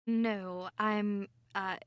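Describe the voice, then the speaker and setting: A woman's voice: mid-range voice, fairly even intonation, drawn-out words, a bit bright, clear, resonant tone, no echo, clean studio sound. An American woman from Michigan chatting casually as a podcast guest, playful and teasing. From Michigan, USA. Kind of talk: casual panel chat.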